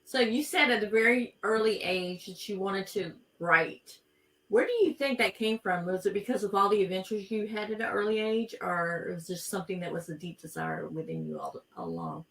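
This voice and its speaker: A woman's voice: mid-range voice, expressive, moderate pace, fairly bright tone. A woman hosting a podcast interview in a friendly, chatty way. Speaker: podcast host. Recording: roomy, distant from mic.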